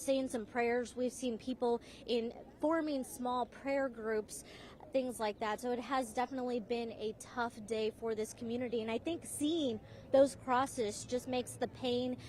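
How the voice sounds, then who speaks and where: A woman's voice: fairly high pitch, slight background noise. A woman working as a field reporter, delivering broadcast news reports in a scripted, on-location style. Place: outdoors at a memorial for live reports.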